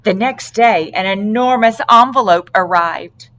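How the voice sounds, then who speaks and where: A woman reads a children's story aloud in an expressive style. Her pace is slow and deliberate, with dramatic phrasing at a middle pitch. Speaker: storybook reader.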